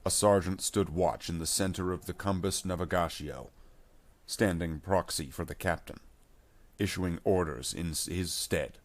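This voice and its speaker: A man with a low-pitched voice serves as narrator for a science fiction tale. He reads from a script in a measured, dramatic storytelling style, keeping to his own voice throughout. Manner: measured storytelling delivery without character voices.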